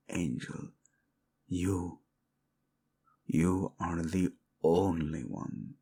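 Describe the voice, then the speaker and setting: Man playing a deep, gravelly, devoted royal husband. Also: heavily acted. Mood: guarded, tender. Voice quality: husky, gravelly low voice. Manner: slow, hushed, teasing, formal wording. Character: devoted, possessive young royal husband, feared ruler. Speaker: voice actor in romantic roleplay audio. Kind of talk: intimate scripted romantic audio roleplay.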